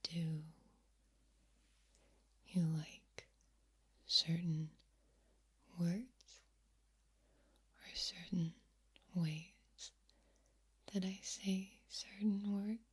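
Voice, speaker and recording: A woman speaking softly in a breathy ASMR whisper, close to the mic from ear to ear, lingering on and repeating words. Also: lowish voice, fairly even intonation, drawn-out words, pauses often. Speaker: ASMR video creator. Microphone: close microphone, speaking ear to ear.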